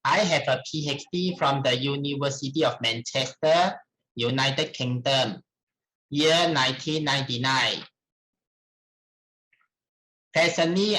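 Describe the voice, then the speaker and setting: A middle-aged man with a Malaysian accent gives a formal academic presentation in a halting, deliberate manner. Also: medium pitch, sharp tone, rough recording. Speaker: researcher presenting at a conference.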